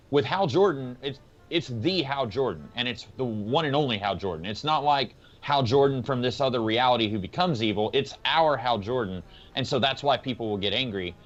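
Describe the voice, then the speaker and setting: A man of medium pitch talks casually on a discussion panel. He explains his reasoning step by step, and the sound is a little dull. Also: a bit bright. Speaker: podcast panelist. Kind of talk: casual comic book discussion. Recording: slightly dull recording.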